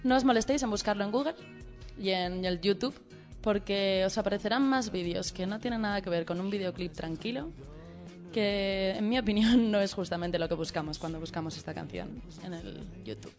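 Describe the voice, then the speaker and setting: A woman's voice: medium pitch, brisk, a little mellow. A woman with a Spanish accent from Spain, co-hosting a radio show in an informal presenting style. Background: music.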